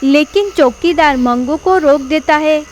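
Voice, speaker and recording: Lady narrator for spooky cartoon story, scripted, high voice, bit of noise behind. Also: measured pace.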